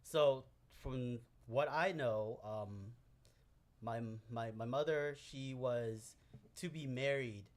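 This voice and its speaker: A man's voice: medium pitch, slow pace. A man from Hawaii, a guest on a podcast, telling stories in a laid-back casual chat, often saying "bro".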